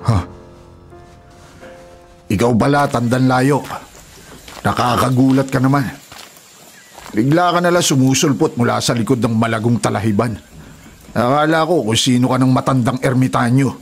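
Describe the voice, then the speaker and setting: A man's voice: mid-range voice, moderate pace, long pauses, faint music behind, lightly acted. A man playing a hot-tempered, threatening illegal logger in a Filipino radio drama, with a Filipino accent and a confrontational manner. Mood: startled, irritated. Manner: menacing, confrontational.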